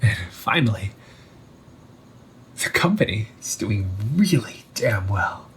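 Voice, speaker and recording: A man playing an undead older brother returned from the sea, talking in a hushed, halting, teasing way in an audio roleplay. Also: on the low side, big pitch swings, slight background noise, full character voice. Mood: teasing admiration. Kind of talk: audio roleplay monologue to the listener.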